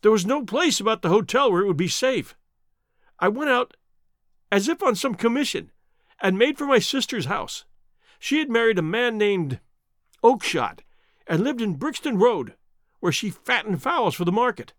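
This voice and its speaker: Man voicing a high, frightened, cringing thief. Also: very animated, moderate pace, pauses often, fairly bright tone, no room sound, studio quality. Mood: anxious confession. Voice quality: cracked, shrill. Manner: stammering, pleading. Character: frightened, cringing male hotel thief, pleading for mercy. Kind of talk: dramatic audiobook character reading.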